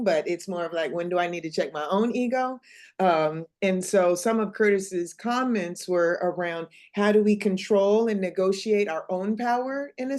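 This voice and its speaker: A woman's voice: on the low side, darkish tone. A woman speaking earnestly in a casual video-call discussion, steadily summarizing what her small group talked about. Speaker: fellow reporting back from a small group.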